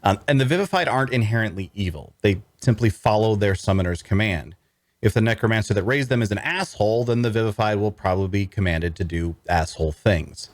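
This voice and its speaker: A wry necromancer antihero, played by a man, tells his own tale in a low, close, dry-sounding voice. He is sardonic and conversational, tossing in dry asides as in an audio fantasy drama. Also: brisk, no room sound, close to an everyday voice. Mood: dry, matter-of-fact. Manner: conversational, dryly humorous, rhetorical asides. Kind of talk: first-person dramatic narration at a script table read.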